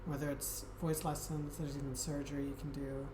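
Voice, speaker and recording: A woman streamer chatting casually with her viewers in a soft, friendly, hesitant way, with frequent ums. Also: deep voice, a little fast. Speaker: Twitch streamer. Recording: echoey room with steady background noise.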